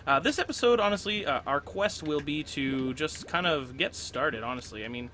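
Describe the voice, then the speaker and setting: A young man hosting a casual gameplay commentary, chatty and upbeat, getting over a cold and clearing his throat often. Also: fairly high pitch, expressive, moderate pace, sharp tone, slight background noise. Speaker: let's play host.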